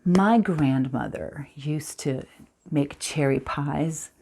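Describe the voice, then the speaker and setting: A woman performing a dramatic film monologue as a somber storyteller recalling childhood, speaking in a hushed, halting, deliberate way. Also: sits low, slight background noise, voice pushed down. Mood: hushed, reflective.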